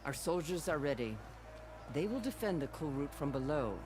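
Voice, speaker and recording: Higher-voiced, resolute military chaplain. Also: male voice, big pitch swings, moderate pace, music in the background. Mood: commanding. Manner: firm, authoritative, formal. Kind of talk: dramatic video game acting.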